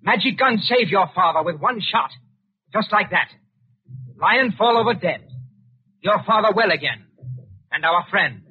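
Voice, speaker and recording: An actor playing a cool, wily English man, coaxing and deliberate, in 1940s radio style. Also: high voice, expressive, breathy edge, full character voice. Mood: persuasive. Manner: coaxing, deliberate, simplifies words for his listener. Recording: tinny, fuzzy 1940s radio recording.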